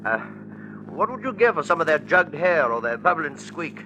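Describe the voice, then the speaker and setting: A man playing a chatty, snobbish British gentleman traveller in a 1940s radio drama, hearty and fussy in manner. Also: a little high, big pitch swings, moderate pace. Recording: muffled, noisy 1940s radio recording.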